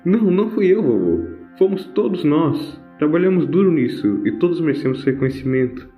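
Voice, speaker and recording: Guy doing a young granddaughter, pitched up, eager and polite, echoey audio drama. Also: very animated, fairly quick. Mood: modest, warm. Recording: roomy, dull home recording with music.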